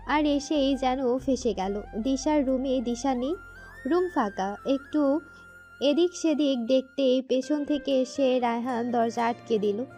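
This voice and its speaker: A woman's voice: sits high, dark tone. A woman narrating a scripted romantic story.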